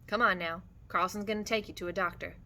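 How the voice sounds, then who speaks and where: Woman reading a blunt, authoritative ranch man, bright voice. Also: medium pitch, fairly even intonation, fast talker, slight background noise. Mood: firm. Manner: blunt, folksy rural speech. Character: tough, authoritative mule-skinner, a blunt fair-minded man.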